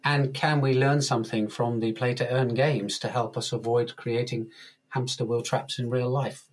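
A man being interviewed as a guest author, talking in a relaxed, easygoing way and chuckling now and then. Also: medium pitch, normal speed. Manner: relaxed, chuckles often, uses analogies. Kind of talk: casual interview talk.

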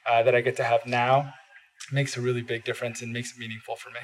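A man's voice: medium pitch, level tone, quick pace, slight background noise, rough recording. A man whose first language is Spanish, talking earnestly as a podcast guest in a studio, with frequent "uh" fillers. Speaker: podcast guest, bilingual education advocate. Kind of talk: casual interview talk.

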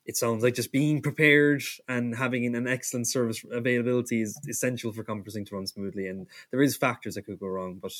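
A man speaks as the host of a podcast, summing up and signing off. His voice has an average pitch for a man. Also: steady tone, quick pace, few pauses, fairly bright tone. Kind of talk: podcast hosting, wrap-up.

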